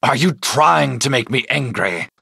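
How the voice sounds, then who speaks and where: A ruthless male supervillain from a video game. He sneers in a lively, forceful voice, recorded dry. His delivery is slow, haughty and menacing. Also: medium pitch, vocal fry, husky, no echo, exaggerated voice. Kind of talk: video game villain acting.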